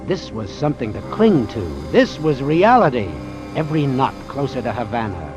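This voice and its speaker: A man's voice: medium pitch, big pitch swings, moderate pace, natural voice. An American man acting a wary, wry doctor who narrates an old-time radio suspense drama in a terse, hard-boiled manner. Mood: tense, brooding. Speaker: actor playing the narrator and lead. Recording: vintage radio drama with music underneath.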